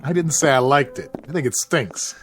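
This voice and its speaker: A man's voice: mid-range voice, lively intonation, moderate pace, soft background music, lightly acted. An actor playing a blunt, sardonic and resentful spaceship crewman in an audio drama. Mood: bitter.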